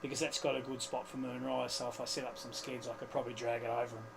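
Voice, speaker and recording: A man's voice: medium pitch, level tone, quick pace. A man telling casual, chatty stories as a hobbyist guest, voicing bits of remembered conversation. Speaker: guest amateur radio hobbyist. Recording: clear background noise, live room ambience.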